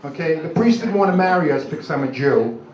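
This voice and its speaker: An older man with a New York accent does halting, self-deprecating, rambling stand-up comedy. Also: on the high side, animated, a little mellow, recorded from a distance. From Brooklyn, New York, USA. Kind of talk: live stand-up comedy, crude jokes. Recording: dull, noisy, echoey club recording from afar.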